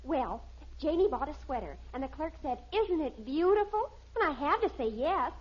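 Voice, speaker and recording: An actress playing a flustered, evasive American teenage daughter in a 1950s radio sitcom, hesitant and plaintive. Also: female voice, high-pitched, big pitch swings, moderate pace. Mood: plaintive, defensive. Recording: dull old radio recording.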